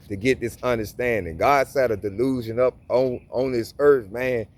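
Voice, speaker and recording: A man's voice: mid-range voice, a little hiss or hum. A man giving informal Bible reading and commentary in a casual preaching manner, often restarting and repeating words. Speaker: Bible teacher.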